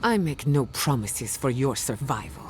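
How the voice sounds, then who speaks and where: An actor playing a blunt adventuring companion in a video game. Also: male voice, medium pitch, sing-song, unhurried, a little hiss or hum. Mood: menacing. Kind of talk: scripted video game dialogue.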